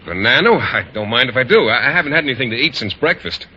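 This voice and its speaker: Earnest schoolteacher, very bright voice. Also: male voice, mid-range voice, lively intonation, fast. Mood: polite, mild. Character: earnest, oblivious, proper male biology teacher. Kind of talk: 1940s radio sitcom acting. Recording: muffled old radio recording, faint noise.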